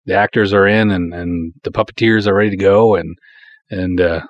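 A man's voice: sits low, steady tone, moderate pace, highs cut off. A man hosting a podcast, giving an informal, conversational update in a casual monologue. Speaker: podcast host and filmmaker.

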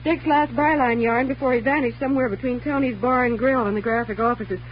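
An actress plays a wry, businesslike woman crime reporter from a vintage radio drama. Her voice sits high. The old recording is muffled, with a humming noise underneath. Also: steady tone, a little fast, natural voice. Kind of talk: 1940s radio drama acting.

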